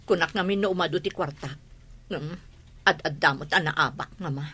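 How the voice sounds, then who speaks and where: A woman's voice: deep voice, normal speed, pushed and bright, slightly rough, full character voice. An actress playing a defensive middle-aged mother hooked on gambling, in a radio drama.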